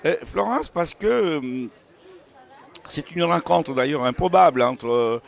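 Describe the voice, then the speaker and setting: A man speaking as a novelist in an unscripted interview about his own books, halting and searching for words as he digresses. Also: on the high side, expressive, creaky. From Italian family background. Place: book festival.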